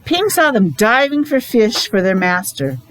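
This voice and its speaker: A woman, a teacher reading a picture book aloud to young children, pausing to explain and ask questions in simple words. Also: a little high, big pitch swings, low-quality recording. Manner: child-directed, asking questions, repeating words for emphasis. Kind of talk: animated read-aloud with casual explanatory asides.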